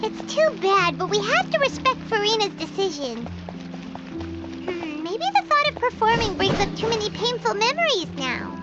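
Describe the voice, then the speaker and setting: Squeaky, chirpy tiny fairy sidekick. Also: female voice, big pitch swings, measured pace, over loud music, full character voice. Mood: concerned, coaxing. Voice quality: squeaky, childlike. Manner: exclamatory, talks of self in third person. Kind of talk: video game voice acting. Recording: dull game-stream audio, loud noise.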